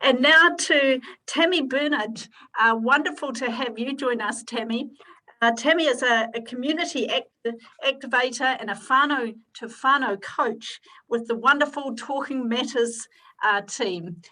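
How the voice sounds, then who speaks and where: A woman's voice: sits high, unhurried, sharp tone, poor audio. A woman hosting a webinar, introducing speakers with effusive thanks over a video call. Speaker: webinar host. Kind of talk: moderating a webinar.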